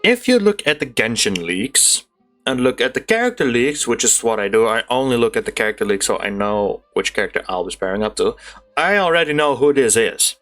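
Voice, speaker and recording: Male gamer, mid-pitched voice, casual commentary, chatty, excitable, faint music behind. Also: a little fast. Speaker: gaming YouTuber. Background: video game music.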